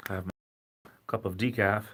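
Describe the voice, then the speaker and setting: Rhode island guy rambling on his home livestream, lowish voice, chatty and jokey. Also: fairly even intonation, normal speed, fairly bright tone, slightly rough. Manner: rambling, self-deprecating, chatty. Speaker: livestream host. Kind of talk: casual livestream chat.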